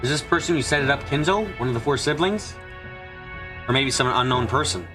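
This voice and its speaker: A man's voice: medium pitch, expressive, brisk, over music. A man narrating a mystery visual novel as a streamer, with slightly congested, mushy diction from a cold. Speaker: let's play streamer reading a visual novel. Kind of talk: dramatic narration of a story game.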